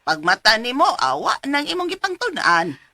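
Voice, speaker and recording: A bright-voiced, middle-aged mother in a radio drama. She nags and exclaims with concern, her pitch swinging widely, recorded close and dry. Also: wildly swooping pitch, moderate pace, no echo, full character voice. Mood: coaxing. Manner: nagging, exclamatory. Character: concerned, scolding mother of a college student.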